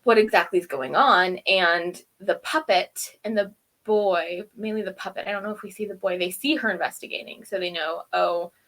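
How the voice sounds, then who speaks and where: A woman co-hosting a review show, casually recapping and commenting in an informal, animated way. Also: lowish voice, moderate pace, poor audio. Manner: informal, animated retelling with acted-out quotes. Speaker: co-host of a TV episode review show.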